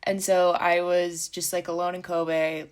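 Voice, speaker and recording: Podcast guest: young American woman, low-pitched, candid and reflective, heavy on "like" fillers. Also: level tone, unhurried, clear, resonant tone. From United States. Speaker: professional skier, guest. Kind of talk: casual interview talk.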